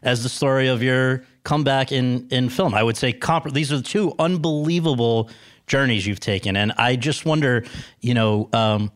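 Measured male podcast host, dry sound. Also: medium pitch, no echo. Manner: formal, measured, reads introduction. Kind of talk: live podcast interview before students. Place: university venue with audience.

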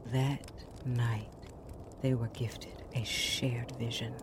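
A woman playing a solemn, wistful fairytale storyteller in an audio drama, narrating theatrically in a hushed, breathy voice. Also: a bass voice, little pitch movement, slow pace, noisy background. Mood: hushed, ominous.

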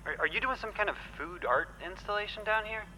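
A man's voice: high voice, a little fast, bright, forceful tone, rough recording. A man in an audio drama playing a nervous, hesitant, nerdy tech support worker. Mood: anxious, insistent. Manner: hesitant, stammering. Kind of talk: naturalistic audio drama acting. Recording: faint ambient noise.

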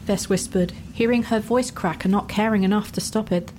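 A woman reads fantasy fiction as its narrator. Her pitch is average for a woman, and faint noise sits behind the voice. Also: a little fast. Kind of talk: scripted fantasy story narration.

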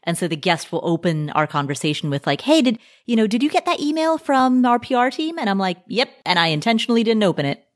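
A woman hosting a podcast, chatting casually, engaged and agreeable, and lively when she quotes someone. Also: fairly high pitch, quick pace, few pauses. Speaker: podcast host. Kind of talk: casual conversational chat.

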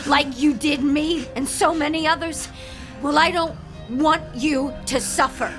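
A young woman with a high voice plays a haunted figure in a horror radio play. She takes her words slowly and with dramatic intensity, over prominent music. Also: fairly even intonation, fairly bright tone. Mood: bitter, confronting. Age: young adult. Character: haunted young woman artist, channelling a murdered high school girl. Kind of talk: horror audio drama acting.

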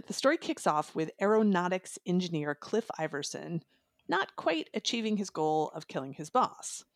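A woman's voice: on the low side, very animated, normal speed, no room sound, clean studio sound. A woman talking casually and informally about books as a reviewer on a podcast, with a giggly manner. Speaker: audiobook reviewer, podcast guest. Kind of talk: casual, chatty book discussion.

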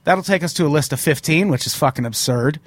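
A man hosting a live-streamed podcast in casual chat, swearing freely and running through rules quickly, his voice a little hoarse. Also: fairly high pitch, no echo. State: slightly hoarse. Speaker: podcast host.

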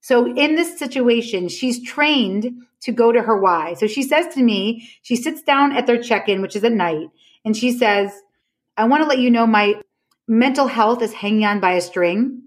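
Woman podcast guest, slightly high voice, emphatic conversational storyteller who quotes dialogue as she explains. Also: animated, moderate pace. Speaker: podcast guest explaining her relationship system.

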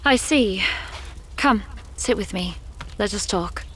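A woman playing a composed village preacher and wise woman in a fantasy audio drama, declamatory and gently commanding. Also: medium pitch, expressive, slow, long reverb tail, slight background noise. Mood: welcoming, composed.

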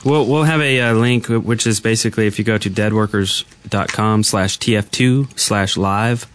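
A man hosting a casual podcast in a studio, chatting in a halting, rambling way. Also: fairly low pitch, a bit bright. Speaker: podcast host.

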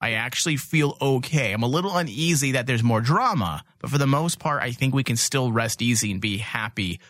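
A man with a bright, forceful voice hosts a casual fan podcast discussion. He speaks bluntly and swears freely, and the sound is close and dry. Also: medium pitch, animated, fairly quick, dry recording. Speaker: podcast host.

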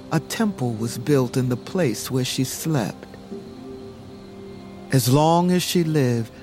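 A man narrating an audio drama legend in a solemn, measured, reverent way. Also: mid-range voice, darkish tone. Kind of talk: slow scripted storytelling narration for an audio drama. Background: music.

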